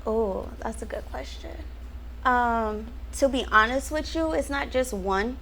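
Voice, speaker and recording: A woman's voice: fairly high pitch, unhurried, creaky, fairly bright tone, a little hiss or hum, low-quality recording. A young woman from Houston, a rapper and songwriter, chatting upbeat in an interview and thinking aloud with frequent ums. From Houston, Texas. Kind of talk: casual interview chat.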